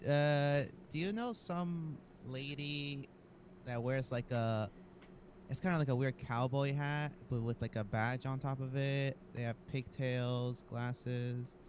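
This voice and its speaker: A man plays an uneasy visitor in a game role-play. He talks casually and hesitantly at a middling pitch, with faint noise in the background. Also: fairly even intonation, takes it slowly, close to an everyday voice. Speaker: role-player in an online game. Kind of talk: improvised in-character chat.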